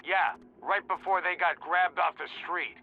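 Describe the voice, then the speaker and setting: A man playing a gruff, worried task force officer in a video game cutscene. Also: high voice, steady tone, a little slow, phone-quality sound, slight background noise. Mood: urgent, grim.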